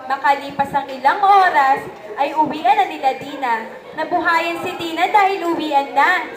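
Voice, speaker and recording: Young female narrator, high voice, Filipino accent, scripted storytelling, echoey room, some background noise. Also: moderate pace, crowd noise. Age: young adult.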